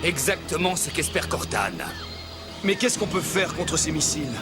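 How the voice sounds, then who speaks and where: A man's voice: on the high side, sing-song, normal speed. A man voicing an earnest young male immortal hero in a French cartoon dub, speaking in a declamatory way. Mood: urgent. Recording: cartoon soundtrack with loud music.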